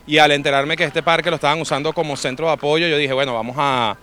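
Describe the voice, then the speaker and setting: A man's voice: on the high side, steady tone, quick pace, crowd noise, noisy background. A young man with a Venezuelan accent giving a colloquial, reflective interview answer as a volunteer musician. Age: young adult.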